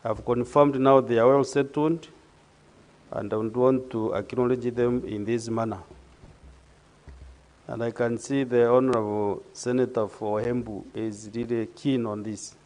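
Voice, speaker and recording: A man with a mid-pitched voice chairs a senate sitting in a measured, formal manner, now and then catching on small fillers. He keeps an official, procedural tone throughout. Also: level tone, darkish tone. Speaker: presiding speaker of the senate. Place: legislative chamber.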